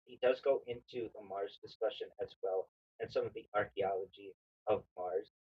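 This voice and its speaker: Husky low-voiced man explaining at length. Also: steady tone, lo-fi sound. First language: not Hebrew. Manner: halting, many fillers and restarts. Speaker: streamer. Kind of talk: casual explainer monologue.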